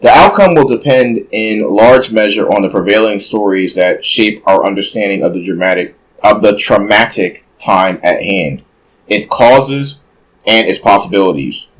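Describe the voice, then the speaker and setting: A man reading a nonfiction book aloud in a measured way, now and then stopping to correct a slip. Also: on the low side, rough recording.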